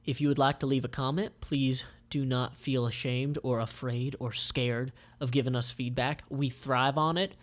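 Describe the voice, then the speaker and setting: A man's voice: mid-range voice, steady tone, moderate pace, hardly any pauses. A man narrating a comic aloud in an informal, offhand, conversational way.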